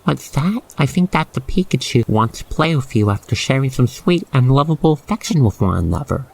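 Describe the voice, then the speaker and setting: A man with a creaky voice reading a scripted second-person ASMR roleplay story, with gentle exclamations. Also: medium pitch, sing-song, brisk, poor audio. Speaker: roleplay narrator.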